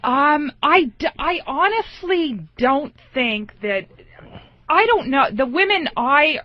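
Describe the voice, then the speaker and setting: A woman's voice: sits high, expressive, slow pace, no echo. A woman in a casual radio debate, defending her point in an insistent but hesitant way. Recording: dull, dry lo-fi radio audio.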